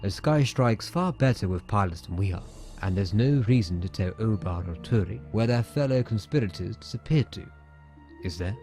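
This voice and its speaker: An actor playing a stern, pragmatic military academy commandant, blunt and firm, in a dramatic comic dub. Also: male voice, lowish voice, expressive, moderate pace, warm tone, soft background music. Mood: wry, pragmatic.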